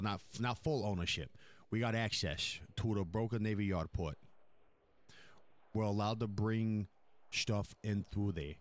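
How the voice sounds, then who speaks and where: A man's voice: lowish voice, close to an everyday voice. A man playing a blunt, commanding, foul-mouthed mafia boss in an improvised crime roleplay game, repeating himself as he gives orders. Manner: blunt, profane, repetitive, giving orders. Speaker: roleplay player.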